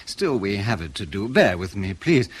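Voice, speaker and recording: An actor playing a polite, evasive English intelligence interrogator in a radio drama, measured and officious with a steely edge. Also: male voice, fairly low pitch, big pitch swings, a little hiss or hum. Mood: polite, insistent.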